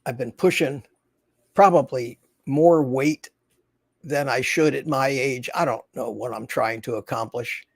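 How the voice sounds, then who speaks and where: A man in his seventies talks casually to his livestream viewers in a rambling, folksy manner. His pitch sits in the middle range, and the recording is dry and close. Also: unhurried, vocal fry, no echo. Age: 70s. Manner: rambling, folksy, reads viewer comments aloud. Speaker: livestream host. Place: his home in Florida.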